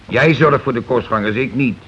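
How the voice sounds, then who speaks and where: An actor in a Dutch radio play playing a middle-aged, self-important working-class husband who complains and blusters sarcastically. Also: male voice, mid-range voice, normal speed, fairly bright tone, lightly acted. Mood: indignant blustering. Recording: muffled, hissy old radio recording.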